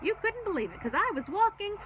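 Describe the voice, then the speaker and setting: A woman's voice: very high-pitched, big pitch swings, fairly quick, noisy background, voice pushed up. A woman voicing a chattering, self-absorbed young female furry creature from a children's storybook, eager and babbling. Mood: excited chattering. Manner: babbling, talkative, breathlessly eager. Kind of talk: children's storybook read-aloud.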